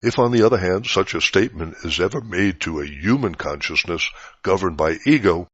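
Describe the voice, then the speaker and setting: A man reading a scripted spiritual teaching aloud in a measured, didactic way. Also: low-pitched, lively intonation, a bit bright, breathy edge. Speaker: reader of spiritual articles. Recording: dull, roomy lo-fi recording.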